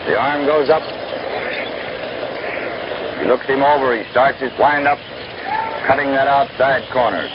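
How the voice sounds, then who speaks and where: A man's voice: medium pitch, a little slow, long pauses. Scratchy old-time American baseball radio man. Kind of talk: 1930s-1940s radio baseball play-by-play commentary. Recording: noisy, dull, scratchy old radio broadcast.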